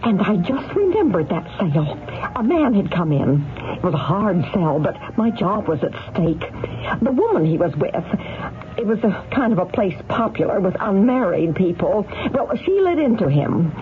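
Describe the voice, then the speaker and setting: An actress playing a flustered, insistent former shop manager on the witness stand in a radio drama, halting and emphatic. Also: female voice, medium pitch, big pitch swings, a little hiss or hum, lightly acted. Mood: flustered, pleading. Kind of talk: 1970s radio drama acting. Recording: tinny vintage radio recording, music bed.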